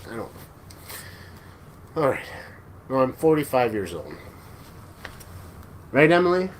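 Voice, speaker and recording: A man in his forties hosting a casual live stream, chatting with viewers in a relaxed, joking way. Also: mid-range voice, unhurried. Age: 40s. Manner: laid-back, joking, chuckles often. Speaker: livestream host. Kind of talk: casual live chat reading viewer comments. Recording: noticeable background noise.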